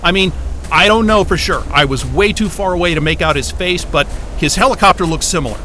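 A man's voice: sits high, brisk, bright, forceful tone, slight background noise. A man playing an irritable, foul-mouthed astrophysicist at a polar station in a naturalistic audio drama, with a blunt, sarcastic, agitated manner. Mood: urgent, insistent.

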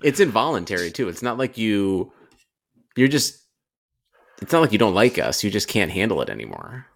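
A low, gravelly male voice that swings widely in pitch as he trades blunt, sarcastic jokes. He co-hosts a podcast over a call mic. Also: sing-song, moderate pace. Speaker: podcast co-host. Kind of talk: casual podcast chat.

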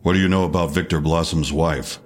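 Very deep-voiced probing detective. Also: male voice, steady tone. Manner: measured, clipped, official. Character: probing, unflappable male police detective. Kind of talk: audio drama interrogation.

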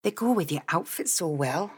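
Casting: female narrator as quiet, low-pitched romantic male lead, gallant, calm, lightly teasing. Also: moderate pace. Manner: low-key, gently teasing. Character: Mac, calm, gallant, teasing handsome gentleman. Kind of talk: audiobook character dialogue.

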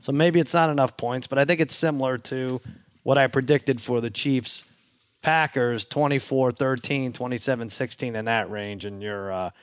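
A man's voice: medium pitch, fairly even intonation, normal speed, smooth, no echo. Dry-sounding man hosting sports talk. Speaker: sports show host. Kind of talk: casual sports betting discussion.

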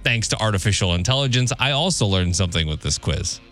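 A man's voice: on the low side, animated, fast, fairly bright tone. A man hosting a radio show, reading out trivia questions and bantering as quizmaster. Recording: music bed behind the voice. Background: background music.

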